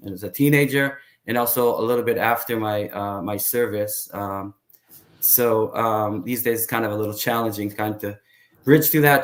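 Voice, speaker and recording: A man in his thirties from Israel, talking as an interview guest in a hesitant way, with many fillers and pauses. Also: on the low side. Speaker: guest, tour guide. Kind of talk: casual interview talk.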